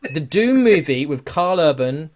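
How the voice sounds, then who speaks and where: A man's voice: fairly high pitch, big pitch swings, measured pace, a little mellow. A man co-hosting a podcast, chatting casually about films and games in an enthusiastic, emphatic, sweary way. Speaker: podcast co-host. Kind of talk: casual film and game chat.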